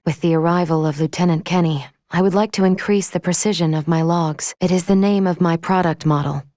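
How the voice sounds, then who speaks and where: Woman doing a polite analytical android lady from a video game, lowish voice, formal and exact, dry clean sound. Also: warm tone, no room sound, close to an everyday voice. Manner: formal, precise, measured, matter-of-fact. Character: calm, logical female android crew member, polite and analytical. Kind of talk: video game character voice acting.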